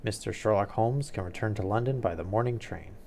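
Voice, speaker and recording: A man's voice: fairly low pitch, fairly quick, creaky, darkish tone, slight background noise. A man doing a browbeaten old doctor. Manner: flustered, yielding. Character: browbeaten, indecisive male headmaster doctor.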